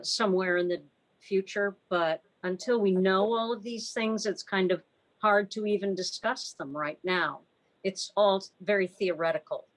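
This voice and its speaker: A woman with a medium-pitched voice talks through points in a club meeting. She is measured and explanatory, speaking over a video call. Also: poor audio. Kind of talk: meeting discussion.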